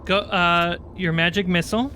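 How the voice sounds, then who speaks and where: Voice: mid-range voice, fairly even intonation, moderate pace, sharp tone, slight background noise. A game master narrating and refereeing a livestreamed tabletop game in an informal, suspenseful storytelling way. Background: music.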